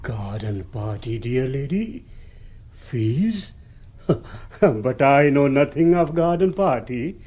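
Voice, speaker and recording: Serene Indian guru for radio comedy: unhurried, soft-edged tone, humble and ceremonious, Indian accent, broken English. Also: male voice, mid-range voice, lively intonation. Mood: gently pleased. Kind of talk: radio comedy drama acting.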